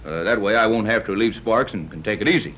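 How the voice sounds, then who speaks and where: An actor playing a wry, skeptical sea captain in a 1930s radio serial, from a dull old recording. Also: male voice, fairly low pitch, expressive, slight background noise, natural voice. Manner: dry, skeptical, brisk.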